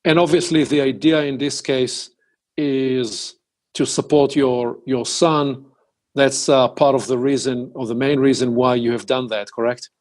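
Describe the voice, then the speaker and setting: A man hosting a podcast, asking deliberate, probing interview questions. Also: mid-range voice, fairly even intonation, darkish tone. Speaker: podcast host.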